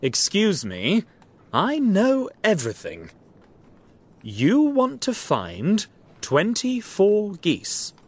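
A man voicing a confident, shrewd, courteous detective in an acted storybook, speaking in an assured and deliberate way. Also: high voice, wildly swooping pitch, pitched above the performer's own voice, exaggerated voice.

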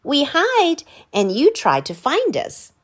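Storybook little boy, eager and earnest, high voice, woman voicing. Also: huge pitch swings, slow pace, heavily acted.

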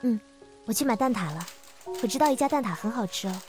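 A woman acting as a shy young secretary in a radio drama. She is soft, earnest and hesitant, with a fairly high voice. Music plays clearly behind her. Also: lively intonation, fast talker, natural voice. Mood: eager, sweet. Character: shy, insecure young female secretary, eager and tender. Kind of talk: Mandarin audio drama acting.

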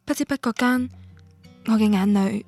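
A woman's voice: fairly high pitch, moderate pace, darkish tone, soft background music. An actress with a Cantonese accent playing a romantic, stubborn young woman who tells her love story in a radio drama. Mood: tender, longing. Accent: Cantonese, Guangdong. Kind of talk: radio drama narration and dialogue, introspective first-person.

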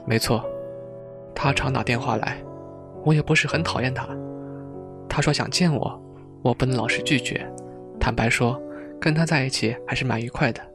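A man acting a polite, earnest gentleman confiding awkwardly in an audio drama, in measured dialogue. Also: mid-range voice, music in the background, natural voice.

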